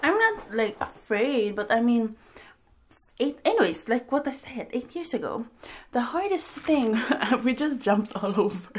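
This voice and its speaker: A woman's voice: fairly high pitch, sing-song, a little slow. A woman in her twenties hosting a casual eating chat, chatty and laughing often. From Sweden. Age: 20s. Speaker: mukbang host.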